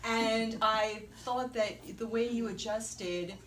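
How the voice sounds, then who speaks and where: A woman's voice: fairly high pitch, steady tone, takes it slowly, a little hiss or hum. Echoey woman interviewer, distant. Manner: warm, encouraging, laughs often. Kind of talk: casual interview. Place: indoor concert venue. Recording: echoey room, distant mic.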